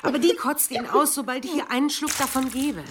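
Radio play villager played by a woman: worried, plain-spoken, slightly high, faint noise behind. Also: steady tone, fairly quick, close to an everyday voice. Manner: worried, earthy. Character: anxious village woman nursing a sick girl. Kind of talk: German radio play acting.

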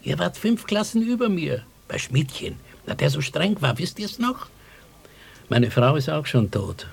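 An actor playing a cheeky older village schoolmaster in a German radio drama, with a creaky, husky voice. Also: male voice, on the high side, sing-song, a little slow, exaggerated voice. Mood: coaxing.

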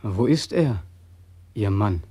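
A man's voice: on the low side, lively intonation, slow pace, warm tone, breathy edge, exaggerated voice. An actor playing a bitter, helpless man back from the war, plaintive and self-mocking, in a radio play. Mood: startled, protesting. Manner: plaintive, self-deprecating, intense. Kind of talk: post-war German radio play acting.

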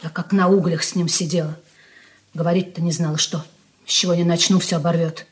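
An actress playing a discontented, proud married daughter who advises and prods in a knowing, aggrieved way in a Soviet radio play. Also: female voice, lowish voice, level tone, fairly quick, slight background noise, natural voice.

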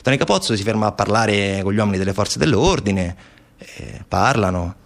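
A man's voice: lowish voice, lively intonation, moderate pace, creaky. A male stage actor delivering a dramatic solo narrative, telling it urgently and vividly in short bursts, as if it were happening now. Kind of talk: dramatic theatrical narrative monologue.

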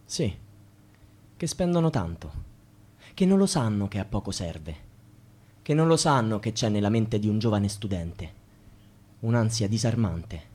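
An actor playing an anxious, bitter, jobless young student in a theatrical, rhythmic Italian radio play, with a husky voice. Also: male voice, fairly low pitch, animated, a little slow, pauses often, a little mellow. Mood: anxious, resigned. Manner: theatrical, wordplay-driven, repetitive.

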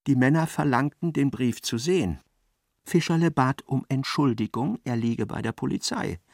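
An actor playing a dry storyteller, giving measured, precise literary narration in a radio play. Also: male voice, mid-range voice, lively intonation, creaky, darkish tone, no echo.

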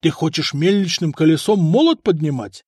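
A man doing a gruff, proud blacksmith. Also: a little high, expressive, moderate pace, darkish tone, dry recording, studio quality. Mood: gruff blustering. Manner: gruff, blustering.

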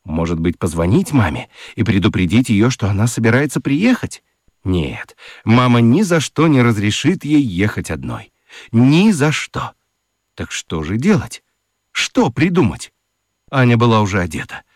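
A man narrating a children's radio story expressively, with theatrical emphasis and dramatic pauses. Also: mid-range voice, big pitch swings, a little mellow, no echo, clean studio sound. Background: music.

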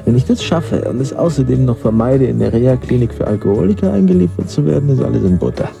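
A man's voice: medium pitch, expressive, fast, gravelly, over music. An actor playing a laid-back young male friend in a radio drama, giving dry, cynical life advice in a philosophizing way. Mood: dry, musing. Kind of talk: naturalistic radio play dialogue.

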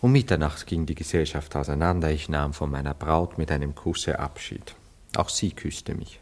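An actor playing a nervous, theatrical bridegroom in a classic German radio play, evasive and given to florid, self-dramatizing storytelling. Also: male voice, a bass voice, normal speed. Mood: agitated, confiding. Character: nervous, theatrical bridegroom confessing on his wedding morning.

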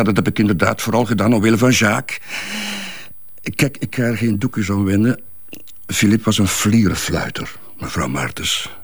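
A man playing an evasive, self-assured businessman under questioning in a crime drama, with a Flemish accent, a gravelly husky voice and repetitive, dismissive replies. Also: on the low side, no echo. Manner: hesitant, repeats himself, dismissive.

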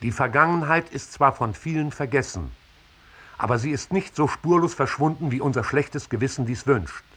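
A man's voice: medium pitch, moderate pace, fairly bright tone, husky. An actor playing a stern man reading newspaper text aloud formally and emphatically in a 1960s radio drama. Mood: grave, admonishing. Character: stern male newspaper reader reciting editorials and reports.

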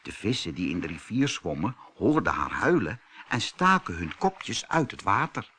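A man reading a children's fairy tale as a storyteller, expressive and deliberate in his delivery. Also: on the low side, breathy edge. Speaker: storyteller, audiobook reader.

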